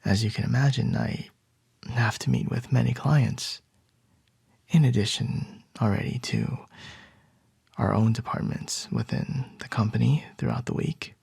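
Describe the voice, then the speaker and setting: Guy doing a soft asmr ceo boss bf, super breathy n whispery, slow, wry, really close dry sound. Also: medium pitch, fairly even intonation, dry recording, heavily acted. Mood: calm, teasing. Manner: unhurried, murmured, gently wry. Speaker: ASMR roleplay voice actor. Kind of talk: ASMR boyfriend roleplay acting.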